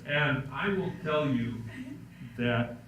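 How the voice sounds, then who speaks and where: Middle-aged man, deep voice, relaxed workshop presenter with dry jokes; distant mic, noisy room. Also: takes it slowly, dull audio. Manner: relaxed storytelling with self-deprecating jokes. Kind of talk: public speaking workshop Q&A. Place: lecture room. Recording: echoey room, distant mic, background noise.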